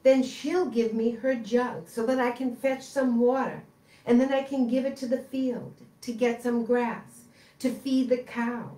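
A woman voicing a pleading young male fox who lost his tail, in a high earnest tone. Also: warm tone, natural voice. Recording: roomy echo, far from mic.

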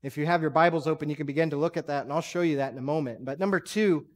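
A man in his thirties preaches from a church stage, his voice sitting a bit high. He talks in a relaxed, conversational way, posing questions to the congregation. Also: rapid-fire. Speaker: pastor. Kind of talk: sermon. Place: large church sanctuary stage.

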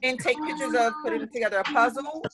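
A woman's voice: a little high, fast talker, loud music behind the voice. A woman teaching preschool over a video call, encouraging the children and repeating their answers. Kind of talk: leading a class video call. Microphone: laptop on a video call.

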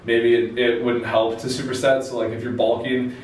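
A man's voice: mid-range voice, flat delivery, normal speed. A man, explaining things conversationally to the camera as a fitness video host. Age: 20s. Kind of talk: informal instructional talk to camera. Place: small indoor room with a whiteboard. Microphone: camera mic a few steps away. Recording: very echoey room, distant mic, faint noise.

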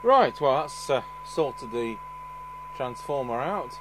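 Casting: male repair technician, dry step-by-step delivery, animated intonation, recorded with clear background hum. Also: mid-range voice, takes it slowly. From United Kingdom. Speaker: host, radio repair technician. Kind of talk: hands-on repair commentary. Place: indoor electronics workbench. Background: steady hum and noise.

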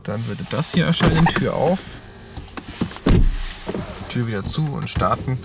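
A man's voice: medium pitch, animated, a little slow, gravelly. A man working as a car reviewer, giving a casual, unscripted walkthrough from inside a parked car. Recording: background noise inside a car.

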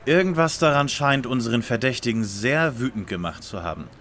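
A man's voice: mid-range voice, expressive, a little fast, fairly bright tone, slight background noise, lightly acted. Man acting a formal, earnest boy detective. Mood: focused. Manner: formal, precise. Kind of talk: German detective radio play parody.

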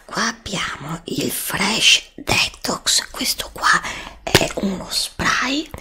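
A woman, softly whispering a skincare review for ASMR close to a desk mic. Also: mid-range voice, creaky. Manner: soft whispering, slow and hesitant. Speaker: ASMR creator. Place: home studio with green screen. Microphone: studio desk mic, close.

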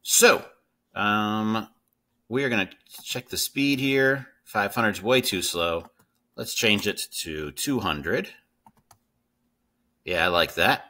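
A man's voice: fairly low pitch, bright, forceful tone. A middle-aged man hosting a casual screen-share coding tutorial. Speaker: tutorial host.

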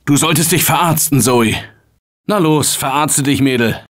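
A man voicing an upbeat office-worker survivor in a video game dub, shouting calls and encouragement to his teammates in an excitable manner. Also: a little high, normal speed, natural voice. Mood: coaxing. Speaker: game character. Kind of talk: German-dubbed video game voice lines.

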